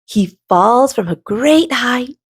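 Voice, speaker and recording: A woman reading a children's storybook aloud as host, expressively and with dramatic pauses. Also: on the high side, lively intonation, breathy edge, no room sound, clean studio sound. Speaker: storybook reader and show host.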